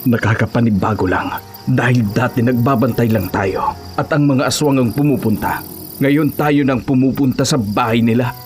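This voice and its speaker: A man's voice: mid-range voice, normal speed, a little mellow, soft background music, close to an everyday voice. A man playing a desperate, resolute husband who hunts monsters in an audio horror drama. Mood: cautious.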